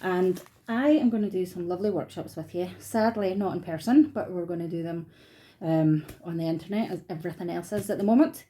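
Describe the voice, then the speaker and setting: A woman's voice: on the low side, brisk, warm tone. A middle-aged woman with a Scottish accent, chatting informally and encouragingly as she teaches an online craft workshop from her dining room. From Scotland. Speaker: art workshop tutor.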